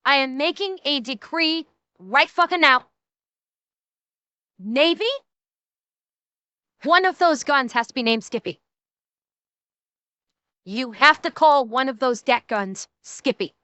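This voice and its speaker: Female reaction streamer: high, slow-paced, emphatic, very dry close sound. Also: lively intonation, sharp tone, no room sound. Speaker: reactor commenting on a comedy video. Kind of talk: live stream reaction commentary.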